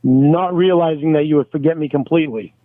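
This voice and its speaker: A man's voice: a little high, fairly quick, dry recording. A man arguing angrily over a phone call, swearing and pleading, recorded on a phone line. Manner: angry, pleading, swears a lot. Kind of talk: heated phone argument. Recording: tinny phone line, dry.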